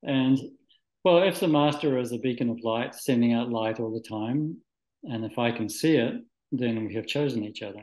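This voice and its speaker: A man from Scotland who moved to New Zealand as a child, speaking reflectively and haltingly as an interview guest, searching for words. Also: mid-range voice, a little mellow. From Scotland, moved to New Zealand at nine. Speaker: interview guest, spiritual practitioner. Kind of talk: reflective, conversational interview answers. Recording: echoey room.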